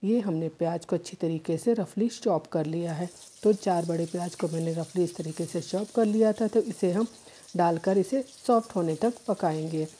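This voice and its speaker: Husky, mellow woman explaining recipes. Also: lowish voice, moderate pace. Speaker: home cook, cooking channel host. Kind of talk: step-by-step recipe voice-over.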